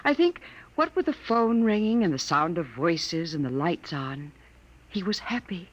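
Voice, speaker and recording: An actress playing an ageless android wife in a 1950s radio drama, soft-spoken, wistful and grieving with quiet dignity. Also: female voice, lowish voice, sing-song, unhurried, lo-fi sound. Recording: vintage 1950s radio broadcast recording.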